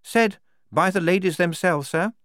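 A man voicing a brisk, tetchy army major in an audiobook, arguing in a formal, precise way. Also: sits high, fairly bright tone, dry recording, studio quality, voice pushed up. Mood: challenging. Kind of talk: audiobook character dialogue.

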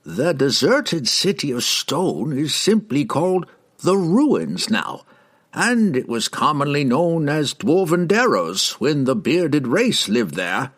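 男人演绎的音调高扬的博学老传令官. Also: male voice, on the high side, sing-song, fairly bright tone, slightly rough, voice pushed up, exaggerated voice. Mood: scholarly. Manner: explanatory, knowing, deliberate phrasing. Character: wise old male herald, learned scholarly storyteller. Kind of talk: audiobook fantasy character.

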